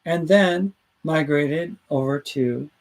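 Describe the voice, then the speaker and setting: Rambling radio host guy, voice on the high side, reads out the chat. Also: takes it slowly. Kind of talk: casual talk radio chat.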